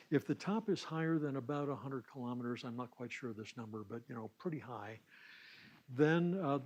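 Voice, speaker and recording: A man lecturing at a science summer school, with a middle-pitched voice. He explains technical material step by step, pausing as he searches for words and dropping in informal asides. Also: husky.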